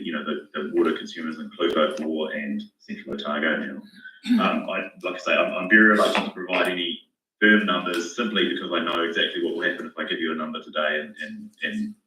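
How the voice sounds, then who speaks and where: A man recovering from a cold, his voice hoarse, reporting cautiously to a council meeting with many restarts. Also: a bass voice, pushed and bright, a little hiss or hum. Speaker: financial modelling consultant. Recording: roomy, echoey, distant from the mic.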